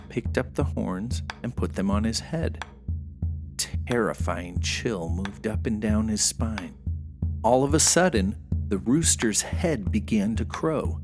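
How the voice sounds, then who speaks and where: A storyteller giving a dramatic scripted narration of a folktale, over music. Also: mid-range voice.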